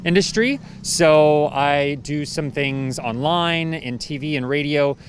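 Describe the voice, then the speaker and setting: A man from Arkansas answering street interview questions in a relaxed, chatty way. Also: a little high, slow pace, slight background noise. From Arkansas, United States. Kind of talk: casual answers.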